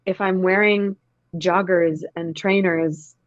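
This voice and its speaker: A woman's voice: lowish voice, steady tone, takes it slowly, no echo, highs cut off. A woman in her thirties, an actor talking in a casual interview, reflective and emphatic. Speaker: actor, podcast guest.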